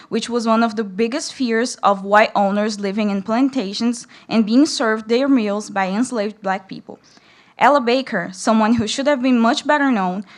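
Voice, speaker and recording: A young woman from Brazil with a Brazilian accent, a native Portuguese speaker, speaking and reading aloud to an audience as a student. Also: fairly high pitch. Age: young adult. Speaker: student reader at a public read-in.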